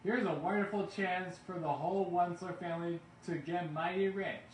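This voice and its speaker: A man's voice: sits high, steady tone, moderate pace, voice pushed up, close to an everyday voice. Storybook entrepreneur, man reading: greedy, brash, boastful sales patter, echoing room with faint noise. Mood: eager. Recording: roomy, distant mic, faint background noise.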